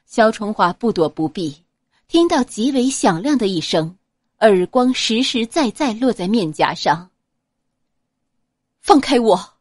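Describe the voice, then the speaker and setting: A woman reads a serialized novel aloud with expressive flair. Her pitch moves widely through the lines, and the sound is dry and close, with no room around it. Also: a little slow, no echo. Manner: expressive, dramatic reading. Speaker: audiobook narrator. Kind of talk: storytelling narration of a serialized novel.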